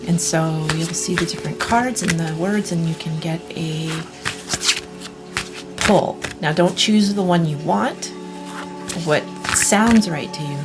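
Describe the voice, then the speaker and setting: Gravelly-voiced woman reading tarot. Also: fairly low pitch, over loud music. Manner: soft, slow, reassuring delivery with many restarts. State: losing her voice, clearing throat. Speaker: tarot reader. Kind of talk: pick-a-card tarot reading.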